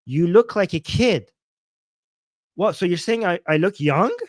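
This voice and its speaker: A man's voice: high voice, lively intonation, measured pace, no echo, studio quality. Chatty, chuckling man in his forties. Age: 40s. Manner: playful, chuckling, repeats himself while reacting to chat. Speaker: livestream host. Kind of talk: casual livestream chat reading viewer comments.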